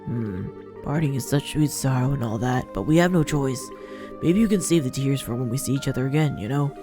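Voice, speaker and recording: Woman playing a low, gruff young swordsman. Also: lively intonation, a little fast, over music. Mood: wry. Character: gruff, teasing young swordsman in a fantasy game.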